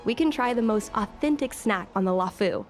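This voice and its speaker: An actress playing an earnest, headstrong young woman in a video game, with an expressive, youthful delivery. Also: medium pitch, fairly quick, faint music behind, natural voice. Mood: brighter, eager. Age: young adult.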